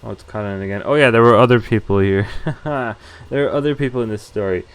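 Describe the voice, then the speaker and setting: A man's voice: lowish voice, fairly quick, clear, resonant tone, soft background music. A male streamer reading a visual novel aloud, breaking off now and then with offhand comments. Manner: reads text aloud, breaking off with offhand asides; loud, exaggerated yelps when voicing pained lines.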